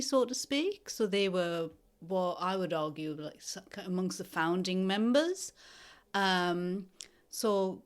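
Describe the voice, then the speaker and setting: A woman in her fifties from northeast England, a lecturer speaking as an interview guest in a thoughtful, measured way with many pauses. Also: on the low side.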